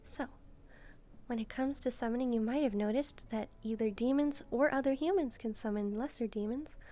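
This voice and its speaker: A woman's voice: a little high, moderate pace, mellow, faint music behind, lightly acted. A woman voicing a sweet, curious young demon maid, chatting politely and a little hesitantly to the listener in a roleplay. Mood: earnest, explaining.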